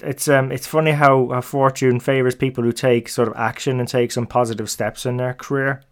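Man hosting a podcast, mid-pitched, upbeat and conversational. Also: little pitch movement, fairly quick. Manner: enthusiastic, conversational. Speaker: podcast host. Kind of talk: podcast intro and interviewing.

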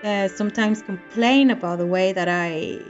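A woman's voice: medium pitch, normal speed, muffled recording, faint music behind. Passionate, outspoken woman from Costa Rica. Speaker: curator. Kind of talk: interview talk.

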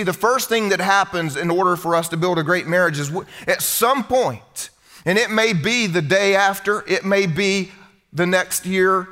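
A man's voice: high voice, fairly bright tone. A middle-aged man preaching a sermon to a congregation in a church hall, in a conversational, humorous way. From United States. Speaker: pastor.

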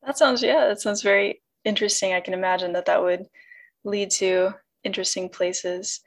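A woman's voice: mid-range voice, fairly even intonation, moderate pace. A woman interviewer asking polite, curious questions in a conversation.

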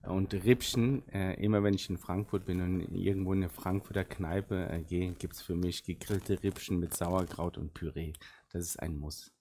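A middle-aged man from Germany whose first language is Arabic, a football sporting director giving thoughtful, unhurried answers in a casual interview. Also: low voice, fairly even intonation, dark tone, slight background noise.

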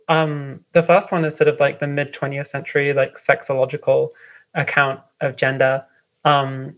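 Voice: mid-range voice, dull audio. A guest from London, a writer and editor, explaining ideas in a loose, meandering way full of "um" and "like" and light laughs. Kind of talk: casual remote interview explanation.

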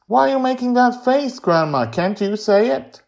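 A man's voice: extremely high pitch, lively intonation, moderate pace, creaky, a little mellow, pitched above the performer's own voice, heavily acted. A man voicing a stubborn, inquisitive nine-year-old girl in a story, with an insistent, questioning manner. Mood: pressing, teasing. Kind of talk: acted storytelling dialogue.

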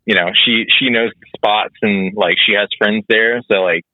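A man in his mid thirties, a guest in a casual chat, easygoing and halting, full of fillers. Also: fairly low pitch, fairly even intonation, dry recording. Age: 30s. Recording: tinny phone-like call line.

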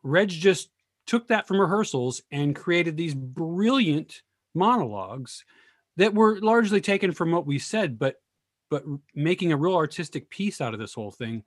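A man's voice: a little high, lively intonation, moderate pace, no room sound, studio quality. A man in casual discussion on a video call, thoughtful and searching for words as he talks. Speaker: discussion participant.

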